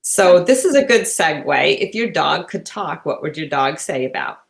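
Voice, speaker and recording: A woman's voice: sits low, lively intonation, normal speed, creaky. A woman co-hosting a podcast from her living room, chatting casually. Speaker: podcast co-host. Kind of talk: casual chat. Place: living room at home, on a remote call.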